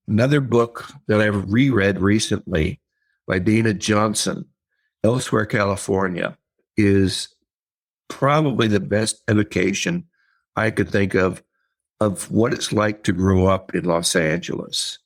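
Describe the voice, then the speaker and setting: An older man, an author and writing teacher, talking in a casual interview, thoughtful and halting, with many fillers and repeated words. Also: lowish voice.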